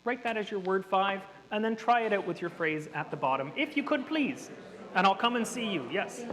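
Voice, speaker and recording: A man's voice: high-pitched, lively intonation, moderate pace, a crowd in the background. A man running an interactive workshop, explaining things in a chatty, nerdy way to a room of participants. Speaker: workshop presenter. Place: hall with an audience. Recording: reverberant hall.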